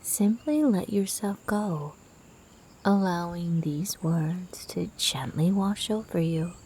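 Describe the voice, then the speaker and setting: Female meditation guide: mellow, half-whispered and breathy, gentle, soothing delivery at an unhurried pace. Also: lowish voice, a little hiss or hum. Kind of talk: guided sleep meditation narration.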